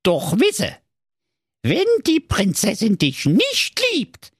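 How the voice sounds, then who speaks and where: A man's voice: sits high, wildly swooping pitch, slow pace, fairly bright tone, husky, no room sound, studio quality, pitched above the performer's own voice, heavily acted. A man voicing a grumpy, crafty evil male dwarf in a comic fairy tale, grumbling and sly.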